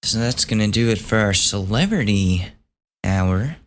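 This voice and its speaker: A man hosting a solo podcast, losing his voice and hoarse, casually reading out gossip items and swearing as he thinks aloud. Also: on the low side, expressive, unhurried, a little hiss or hum. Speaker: podcast host.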